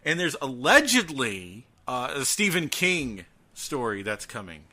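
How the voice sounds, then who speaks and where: A man's voice: mid-range voice, very animated, measured pace, pushed and bright. A man hosting a casual podcast chat, joking at his own expense and swearing freely. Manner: jokey, self-mocking, swears freely. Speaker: podcast host. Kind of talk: casual profane podcast chat about horror.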